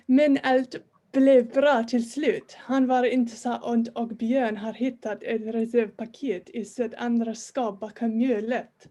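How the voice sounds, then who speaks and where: A woman's voice: on the high side, steady tone, slow, mellow. A woman in an informal table read playing a huge, jovial, muscular male metal star who loves telling stories. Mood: storytelling.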